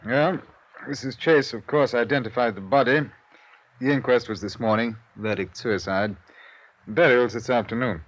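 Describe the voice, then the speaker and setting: An actor playing a matter-of-fact, formal male police inspector in a 1950s radio detective drama, speaking in a measured way. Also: mid-range voice, close to an everyday voice. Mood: dry, sober. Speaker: supporting actor. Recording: muffled vintage radio recording.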